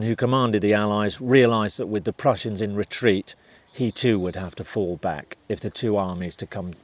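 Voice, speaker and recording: Low-pitched male documentary narrator. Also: dry recording. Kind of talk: scripted historical documentary narration.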